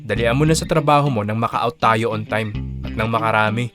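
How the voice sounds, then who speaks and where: A man's voice: on the low side, brisk, over music, lightly acted. A man acting a playful young office buddy in a Filipino audio drama, coaxing and teasing with a Filipino accent. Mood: playful, insistent.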